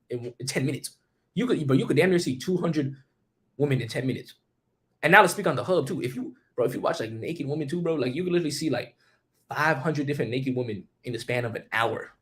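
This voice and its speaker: A young man on a livestream, rambling in a casual, slangy monologue and talking straight to his chat. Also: a little high. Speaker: streamer.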